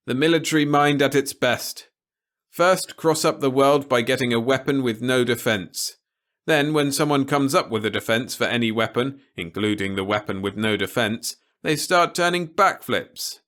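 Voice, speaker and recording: A man voices a stubborn, independent-minded ex-serviceman in an audiobook story. He is blunt and sardonic, with the pitch pushed up from a middle register. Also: normal speed, a bit bright, lightly acted. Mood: mocking. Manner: blunt, sarcastic. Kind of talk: audiobook character dialogue.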